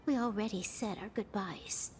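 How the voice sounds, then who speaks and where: An actress playing a wistful, teasing young woman in a video game, with a soft, wry delivery. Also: medium pitch, moderate pace, slight background noise, natural voice.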